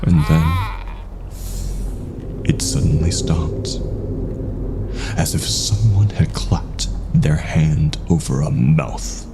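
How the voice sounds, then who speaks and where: A man narrating a scripted first-person horror story in a hushed, ominous, deliberate manner. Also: a bass voice, noisy background.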